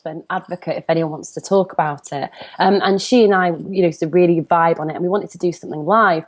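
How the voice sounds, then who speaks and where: A woman's voice: fairly low pitch, a little mellow. A woman in her thirties with a Northern English accent, chatting animatedly as an interview guest and telling personal stories. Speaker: interview guest, comparison coach. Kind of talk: conversational interview, personal storytelling.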